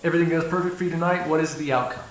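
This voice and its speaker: Man acting a gravelly, sardonic male vampire. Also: fairly high pitch, fairly even intonation, rapid-fire, slight background noise, natural voice, low-quality recording. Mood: weary, wry. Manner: sardonic, drawling, swearing, exasperated asides. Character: ageless male vampire, cynical, menacing, grudgingly fond captive. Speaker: voice actor in an audio roleplay. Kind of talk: ASMR roleplay, one-sided dramatic dialogue.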